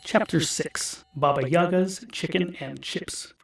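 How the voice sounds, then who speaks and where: A man's voice: a little high, takes it slowly, vocal fry, husky, no room sound. A man narrating a scripted comic fantasy story, with music behind him. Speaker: audiobook narrator. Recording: music under the voice.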